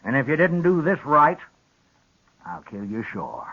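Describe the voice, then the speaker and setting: An actor in a 1950s radio western playing an illiterate rancher who threatens calmly in a drawl. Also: male voice, mid-range voice, measured pace, heavily acted. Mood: grudging, threatening. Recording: dull old radio recording.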